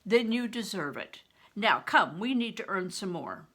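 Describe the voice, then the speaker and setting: A woman's voice: medium pitch, big pitch swings, measured pace, bright, forceful tone, full character voice. An older woman voicing a hearty, worldly male mummer from a storybook, giving fatherly advice emphatically. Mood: encouraging.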